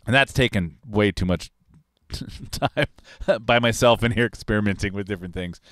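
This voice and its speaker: A man in his forties, a recording engineer and host, talking in a relaxed, rambling, technical way in a studio control room on a broadcast mic. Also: on the low side, moderate pace, no room sound. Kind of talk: casual livestream talk on recording. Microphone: large broadcast mic on arm, headphones on.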